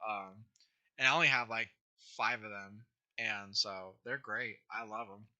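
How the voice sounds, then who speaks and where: Young male streamer, hoarse, very bright tone, casual rambling chat full of fillers. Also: on the low side, fairly even intonation, measured pace. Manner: rambling, many fillers and restarts. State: hoarse, scratchy throat. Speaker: YouTube creator hosting a livestream. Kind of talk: casual livestream chat answering viewers.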